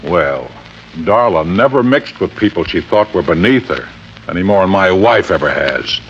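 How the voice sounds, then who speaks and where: Gruff, deep-voiced rich father. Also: lively intonation, moderate pace. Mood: defensive. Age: middle-aged. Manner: brusque, insistent, plain-spoken. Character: gruff, defensive, wealthy middle-aged father. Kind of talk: old-time radio drama acting. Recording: dull, faintly noisy vintage radio recording.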